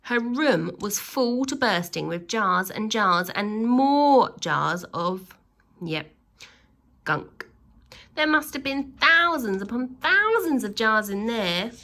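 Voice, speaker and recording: A woman reading a children's story aloud expressively, with theatrical, drawn-out emphasis on key words. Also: mid-range voice, big pitch swings, sharp tone.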